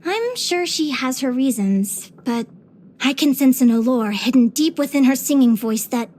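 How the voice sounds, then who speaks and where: A woman voicing a cheerful, curious young adventurer and naturalist in a video game, with an earnest, expressive delivery. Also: high-pitched, moderate pace. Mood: earnest, reflective. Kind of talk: video game character voice lines.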